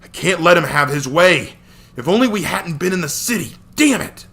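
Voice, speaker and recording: A man's voice: high-pitched, lively intonation, normal speed, vocal fry, fairly bright tone, voice pushed up, heavily acted. A man voicing an intense, self-blaming young male hero in an action story, full of frustration. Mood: frustrated anguish.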